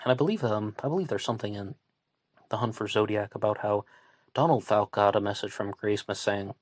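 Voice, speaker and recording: Low-voiced West Virginian man, dry room. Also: brisk, dry recording. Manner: conversational, thinking aloud with hedges and restarts. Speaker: podcast host. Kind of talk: true crime commentary.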